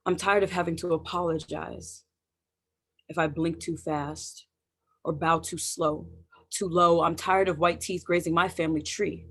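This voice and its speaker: A poet reciting spoken word in a deliberate, emphatic way over a video call. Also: medium pitch, flat delivery, a bit bright. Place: indoors, remote video call.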